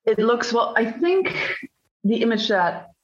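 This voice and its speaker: A woman from Belgium with a Dutch accent, a journalist giving animated, emphatic answers as an interview guest over dull, lo-fi remote audio. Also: a little high. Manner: animated, emphatic storytelling with drawn-out phrases.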